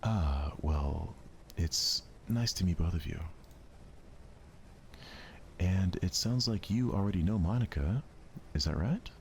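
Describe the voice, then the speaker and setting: A man voicing an awkward, self-conscious high school boy in a visual novel, speaking hesitantly. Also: expressive, slow, gravelly, long pauses, slight background noise, heavily acted. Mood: awkward, polite.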